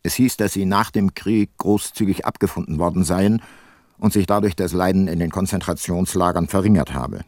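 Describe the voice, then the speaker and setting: Older male detective-narrator, low register, dry studio sound, measured, remorseful, radio drama. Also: a little mellow, no echo. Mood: reflective, sober. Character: ageing male private detective, ex-prosecutor, sober and remorseful. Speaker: narrator and lead character.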